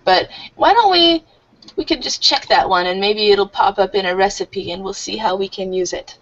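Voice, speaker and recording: A woman teaching an online English class, explaining slowly and warmly with many fillers. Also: mid-range voice, muffled recording.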